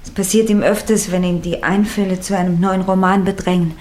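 A composed young lady played by a woman in a 1960s radio drama. Her voice is mid-pitched and very mellow. She explains earnestly, devoted and understanding. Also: flat delivery, brisk, close to an everyday voice. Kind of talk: 1960s radio play acting.

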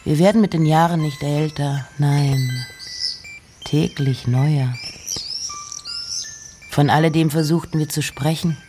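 Low-voiced woman, slow literary reading. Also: fairly even intonation, mellow, soft background music. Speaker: reciter of poems and letters. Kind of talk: literary reading of poetry and letters.

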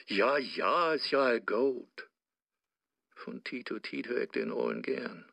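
An actor playing a sly, scheming devil who coaches his victim, with a Low German accent and gravelly voice, in a comic rhymed radio play. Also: animated, sharp tone, dry recording, full character voice. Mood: sly coaching. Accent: Low German, northern Germany. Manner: sly, instructing, drawn-out. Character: scheming, sly male devil Mephisto advising Faust.

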